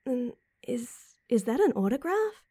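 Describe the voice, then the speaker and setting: A woman's voice: fairly high pitch, very animated, unhurried, warm tone, dry recording, clean studio sound. A woman playing a sweet, supportive, teasing girlfriend in an intimate roleplay audio drama, talking affectionately to the listener. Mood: impressed, excited. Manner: affectionate, teasing, breathy chuckles and sighs. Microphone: close mic, dry.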